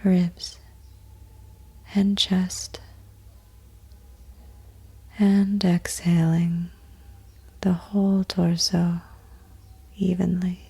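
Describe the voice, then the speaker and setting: A woman guides a meditation in a low, husky voice that often falls to a breathy near-whisper with a creaky edge. Her delivery is extremely slow and gentle, leaving long pauses between short, soothing phrases. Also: level tone. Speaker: yoga nidra meditation teacher.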